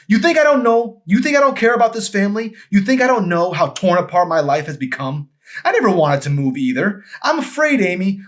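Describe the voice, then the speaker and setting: A man voicing a desperate, anguished husband and fallen pastor, emphatic and often shouting, in an audiobook. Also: a little high, voice pushed up. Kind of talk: dramatic audiobook character acting.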